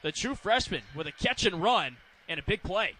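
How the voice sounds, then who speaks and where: A man calling live American football on radio as play-by-play announcer, in quick clipped phrases that can build in excitement. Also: high voice, slight background noise, rough recording.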